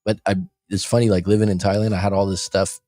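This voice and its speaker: A man's voice: on the low side, quick pace. A man co-hosting a casual livestream chat, telling anecdotes and acting out other people's voices. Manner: animated storyteller, imitates voices in anecdotes. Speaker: livestream co-host. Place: lives abroad in Thailand.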